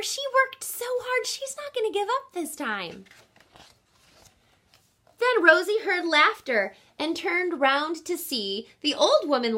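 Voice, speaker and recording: A woman teacher reading a picture book aloud to children in an expressive, instructive way, with deliberate emphasis. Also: very high voice. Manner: teacherly, asks listeners questions, deliberate emphasis.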